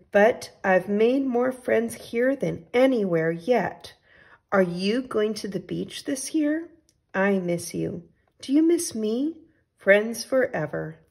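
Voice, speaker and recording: A woman reading as an adventurous, affectionate male storybook traveler, with exclamatory phrasing and drawn-out sign-offs. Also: medium pitch, animated, gravelly, a little mellow, breathy edge, heavily acted. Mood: eager, affectionate. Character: adventurous, affectionate storybook traveler writing postcards to his friend.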